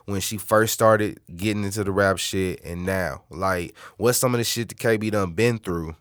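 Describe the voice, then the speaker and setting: A male interviewer with a very low voice asks laid-back, slangy questions and teases his guest. The recording is close and dry. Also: normal speed, no room sound. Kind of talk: casual interview chat.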